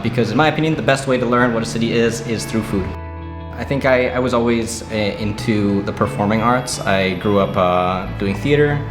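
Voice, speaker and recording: Young man with an American accent, music behind. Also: on the low side. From Romania. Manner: relaxed, rambling with fillers. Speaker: food video creator. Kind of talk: casual interview.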